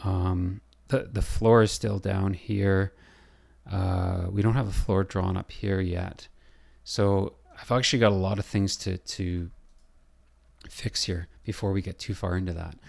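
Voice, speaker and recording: A man with a hoarse, scratchy voice, losing his voice, talking through a software design tutorial and thinking aloud with lots of pauses and fillers. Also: low-pitched, steady tone. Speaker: building designer.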